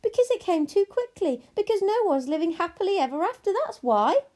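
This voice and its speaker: A woman's voice: very high-pitched, big pitch swings, a little fast, dark tone, voice pushed up. A woman voicing an impatient little girl in a storybook, protesting indignantly.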